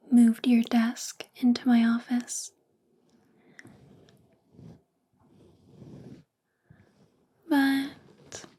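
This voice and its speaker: Slow, whispering possessive female boss, acted by a woman. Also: a little high. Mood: teasing, possessive. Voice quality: breathy, whispery soft voice. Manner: slow, intimate, coaxing, teasing. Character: possessive, obsessed, flirtatious female boss doting on employee. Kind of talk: soft-spoken ASMR roleplay.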